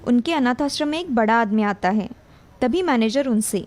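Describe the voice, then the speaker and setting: A woman's voice: fairly high pitch, lively intonation, normal speed, darkish tone. A woman reading a scripted children's story as narrator, with music behind her. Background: background music.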